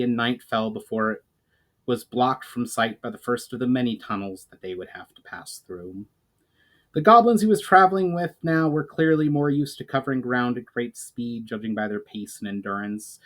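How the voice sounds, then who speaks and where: A man's voice: medium pitch, animated, moderate pace, fairly bright tone. A man giving a dramatic storytime reading as an author and narrator. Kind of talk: dramatic audiobook-style reading.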